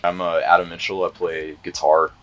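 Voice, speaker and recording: A man from Atlanta, a guitarist, describing things in a measured way with frequent pauses, in a music studio. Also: deep voice, level tone, a bit bright. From Atlanta, USA. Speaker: band guitarist. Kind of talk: casual interview talk.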